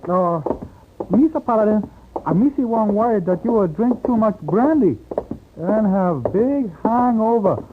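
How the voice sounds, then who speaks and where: High-voiced eager porter. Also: male voice, animated, measured pace. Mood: eager, worried. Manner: clipped pidgin English, obliging. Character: eager, deferential Chinese hotel porter, simple and cheerful. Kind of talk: old-time radio drama acting. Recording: tinny, noisy old radio recording.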